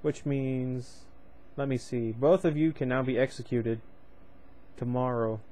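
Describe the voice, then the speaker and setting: A man reading a novel aloud and voicing a snide, prim teenage boy informer with a nasal voice and smug, drawn-out sneers. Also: medium pitch, mellow, natural voice.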